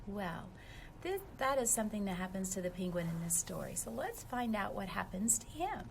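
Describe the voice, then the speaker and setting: A woman's voice: mid-range voice, very animated, normal speed, creaky, a little hiss or hum. A woman reading a storybook aloud to young children, expressively and speaking to them directly.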